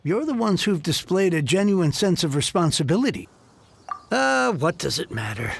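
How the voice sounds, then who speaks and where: Earnest, high-voiced fallen gentleman, creaky. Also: sing-song, moderate pace, slight background noise, full character voice. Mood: wistful, grumbling. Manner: earnest, wordy, formal. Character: earnest, grateful fallen gentleman heir, fussy shopkeeper. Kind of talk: video game character acting.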